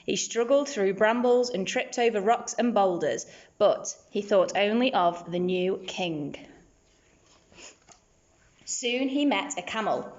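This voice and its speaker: A woman's voice: medium pitch, slow pace, a little mellow, dull audio. A woman teacher narrating a children's storybook aloud.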